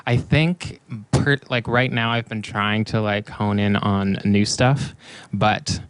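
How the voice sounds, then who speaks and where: An easygoing man in his twenties, guesting on a radio show. He hesitates and fills his pauses with "um" and "like" and laughs easily. His voice is recorded close and completely dry. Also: lowish voice, steady tone, fairly bright tone, clean tone, dry recording. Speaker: musician guest. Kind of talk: casual radio interview.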